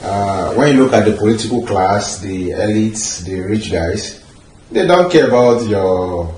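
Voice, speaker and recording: A man interviewing a guest, making his points in a measured way from a sofa in a living room. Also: lowish voice, animated, a little mellow. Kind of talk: conversational interview. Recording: roomy echo, faint room noise.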